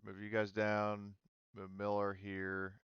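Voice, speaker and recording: A man's voice: fairly low pitch, monotone, takes it slowly, clear, resonant tone, no echo. A man running a casual online tabletop role-playing game as game master, explaining in hesitant pieces. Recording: dull, slightly muffled audio.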